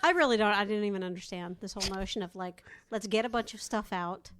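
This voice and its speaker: A woman's voice: medium pitch, fast, a bit bright. A woman co-hosting a podcast in casual comedic banter, wry, sweary and grumbling. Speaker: podcast co-host. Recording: clear background noise.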